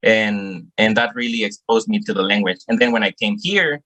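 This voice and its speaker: A man's voice: on the low side, moderate pace, bright, forceful tone, poor audio. A young man from El Salvador with a Salvadoran Spanish accent, earnestly answering questions on a video call and often repeating words as he searches for phrasing. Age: young adult. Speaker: guest student.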